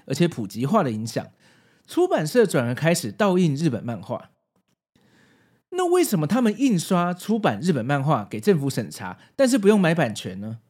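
A man's voice: on the high side, a little mellow. A man from Taiwan with a Taiwanese Mandarin accent hosting a podcast in prepared narration. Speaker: podcast host.

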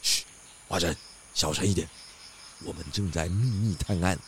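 An actor playing a calm, clever pig detective in a children's radio drama, patient and instructive. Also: male voice, low-pitched, moderate pace, very noisy. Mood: hushed.

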